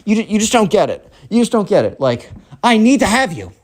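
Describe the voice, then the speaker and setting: A whiny, entitled teenage boy in a comic story, as a storyteller acts out. The pitch rides high and swings about as this lovesick brat pleads and insists with great melodrama. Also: big pitch swings, fairly quick. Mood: pleading, demanding. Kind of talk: acted dialogue in a comic story.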